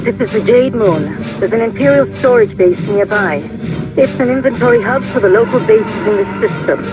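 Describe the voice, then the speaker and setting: An actor playing a proud, formal Imperial military officer in a video game, with clipped phrasing. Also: male voice, high-pitched, lively intonation, normal speed. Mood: businesslike. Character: proud, formal Imperial lieutenant turned defector. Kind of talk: scripted video game acting. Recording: lo-fi game audio under loud music.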